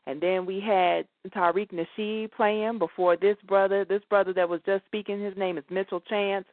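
A woman's voice: medium pitch, fairly even intonation, normal speed, no room sound. Woman hosting radio over thin phone line. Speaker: internet radio talk show host.

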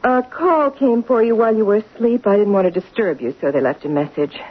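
A sly housekeeper, played by an actress in an old radio mystery, sweetly insinuating as she hints at blackmail. Her mid-pitched voice is a little husky. It comes through tinny and narrow like a vintage broadcast. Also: fairly quick, close to an everyday voice. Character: sly blackmailing housekeeper, middle-aged woman. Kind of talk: old-time radio drama acting.

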